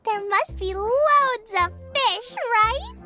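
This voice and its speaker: An extremely high, childlike little girl mage from a game. She is bubbly and earnest, unhurried and swinging up and down in pitch, with clear music behind her. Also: animated, full character voice. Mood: curious. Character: cheerful, innocent, eager little mage girl. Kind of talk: video game character acting.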